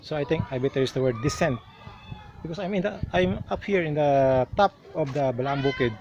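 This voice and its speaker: Filipino man, travel vlogger, slightly high voice, slow and explanatory, recorded outdoors on a camera mic. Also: a little mellow, lo-fi sound, a little hiss or hum. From Philippines. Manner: explanatory, unhurried, with restarts. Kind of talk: outdoor travel vlog narration. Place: outdoors on a hilltop shrine.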